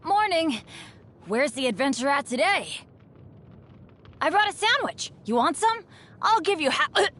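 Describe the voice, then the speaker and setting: A woman's voice: sits high, sing-song, a little slow, long pauses, fairly bright tone, full character voice. A woman voicing an upbeat, unlucky teenage boy adventurer in a video game, earnest and boyish. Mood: cheerful. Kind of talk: video game character voice lines. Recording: studio voice over background music.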